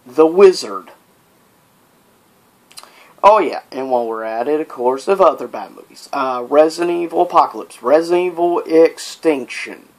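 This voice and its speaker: A man hosting a casual, opinionated vlog, talking informally with rambling asides and exasperated rhetorical questions. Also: fairly high pitch. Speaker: video vlogger, host. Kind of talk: casual, opinionated top-list vlog commentary.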